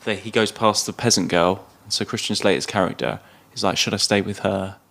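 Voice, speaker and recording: A man with a low-pitched voice chats as a podcast co-host. He reviews in an understated, tentative way, letting his sentences trail away. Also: fairly even intonation, brisk, creaky, a bit bright. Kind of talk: casual film review chat.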